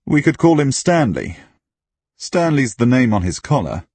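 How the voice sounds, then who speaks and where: A man playing a dry, sensible man in an audiobook pub scene. He reasons pointedly and unhurriedly, with a husky grain and expressive pitch. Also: unhurried, no echo, heavily acted. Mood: matter-of-fact. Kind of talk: audiobook character acting.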